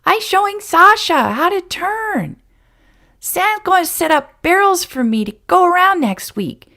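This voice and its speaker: A woman's voice: very high-pitched, big pitch swings, unhurried, bright, forceful tone, voice pushed up, exaggerated voice. A woman voicing a cheerful girl of almost four who stumbles over long words.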